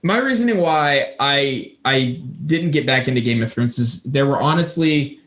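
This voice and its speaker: A man's voice: medium pitch, lively intonation, normal speed, fairly bright tone. A man talking casually as part of a podcast conversation.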